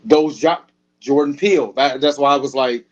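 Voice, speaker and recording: A man hosting a casual interview, relaxed and quick to laugh. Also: mid-range voice, lo-fi sound. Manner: relaxed, laughs easily, many short agreements. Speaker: show host. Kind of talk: casual conversation.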